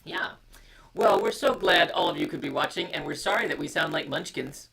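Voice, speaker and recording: A storytime host reading a picture book aloud in a hushed way that drops into whispers, and chatting casually. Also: mid-range voice, a little fast, creaky, heavy background noise.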